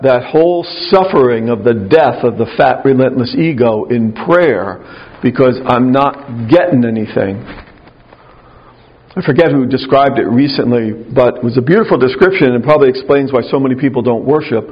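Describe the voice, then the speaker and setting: Older man with a very low, gravelly voice. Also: dull audio. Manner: conversational, wry, self-deprecating humor. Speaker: speaker at a gathering of clergy. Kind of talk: spiritual talk to a live audience.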